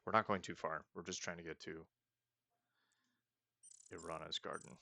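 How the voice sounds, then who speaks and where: A man's voice: deep voice, steady tone, moderate pace, gravelly, sharp tone, no room sound. A young man casually commenting on a roleplaying game as he plays, thinking aloud and joking at his own mistakes. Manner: thinking aloud, chatty and self-mocking. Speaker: let's-play host.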